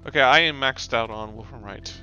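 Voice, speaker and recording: A man's voice: medium pitch, brisk, bright, forceful tone, dull audio, soft background music. Easygoing man making dry jokes. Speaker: let's-play co-host. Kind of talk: casual gaming chat.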